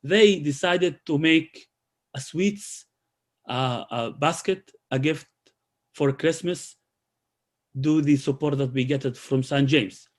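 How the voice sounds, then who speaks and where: Man, slightly high voice, halting delivery full of restarts; presents community programs over a call. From Israel. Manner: halting, many restarts and fillers.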